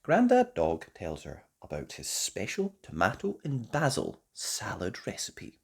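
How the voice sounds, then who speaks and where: A storyteller reading a children's picture book aloud in an expressive way, as host. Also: sits low, unhurried, gravelly, breathy edge.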